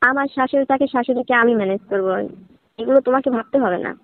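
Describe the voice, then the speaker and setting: A flirtatious, lonely sister-in-law, played by a woman in a phone-call drama. She coaxes and teases in a very quick stream of talk, heard through a thin, tinny telephone line with little room sound. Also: high voice, no room sound, close to an everyday voice. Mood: persuasive, flirtatious. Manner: coaxing, teasing, suggestive. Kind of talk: staged phone-call dialogue.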